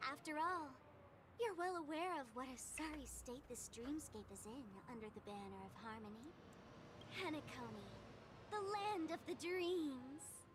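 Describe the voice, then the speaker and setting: A woman's voice: high voice, animated, measured pace, background noise. An actress voicing a mocking, playful masked female trickster in a game, theatrical and taunting. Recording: game audio with music underneath.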